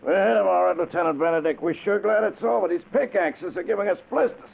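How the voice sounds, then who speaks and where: An actor playing a plain working gravedigger in a 1940s radio drama. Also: male voice, high-pitched, quick pace, gravelly, exaggerated voice. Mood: relieved. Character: plain working male gravedigger, relieved job is over. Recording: tinny, noisy old radio recording.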